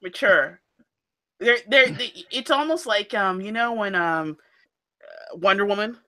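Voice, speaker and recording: Bright, slightly nasal chatty woman. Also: medium pitch, unhurried. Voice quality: somewhat nasal. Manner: animated, laughs often. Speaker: podcast co-host. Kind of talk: casual chat about audiobooks.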